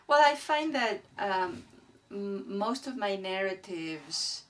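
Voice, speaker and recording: Woman, Spanish speaker, halting and reflective, somewhat far from the mic, faint background noise. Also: mid-range voice, expressive, a bit bright. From Argentina. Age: middle-aged. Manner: thoughtful, halting, searching for words. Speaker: artist being interviewed. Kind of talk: reflective interview talk about own work. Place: indoors beside a displayed print.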